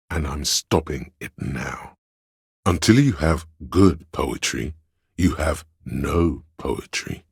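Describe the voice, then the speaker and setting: A man voicing a pompous, erudite inner voice of artistic imagination in a video game, deliberate and theatrical, with a gravelly, husky voice. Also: a bass voice, no echo, studio quality, full character voice. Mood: critical, exacting.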